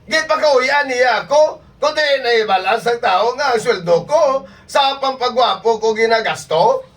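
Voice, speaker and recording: Man playing a very high-voiced whiny Ilonggo husband. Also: expressive, unhurried, a bit bright, full character voice. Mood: whining complaint. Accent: Ilonggo Filipino. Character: vain, whining husband obsessed with his good looks. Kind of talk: comic skit acting. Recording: distant microphone.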